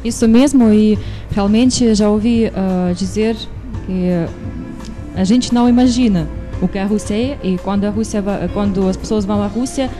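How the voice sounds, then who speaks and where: A woman from Russia in her thirties, a tour guide interviewed on TV, answering in careful, measured Portuguese with a Russian accent into a handheld mic. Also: medium pitch, dark tone, faint music behind. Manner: careful, measured, a little formal. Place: TV studio.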